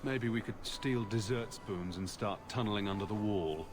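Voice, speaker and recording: An actor playing a witty, roguish fantasy hero in a video game, sarcastic and playful. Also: male voice, lowish voice, moderate pace, lightly acted. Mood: playful sarcasm. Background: game music.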